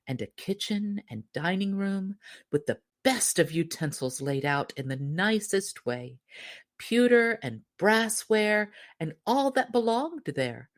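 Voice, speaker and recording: A woman reading a fairy tale aloud as scripted narration, in an expressive storytelling manner. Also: lowish voice. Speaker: story reader.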